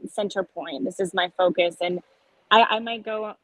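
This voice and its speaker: A woman's voice: mid-range voice, moderate pace, fairly bright tone, no room sound, poor audio. Brief: young woman from Texas, country singer guest, open and earnest, dry close-mic sound. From San Antonio, Texas. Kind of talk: casual interview chat. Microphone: podcast microphone.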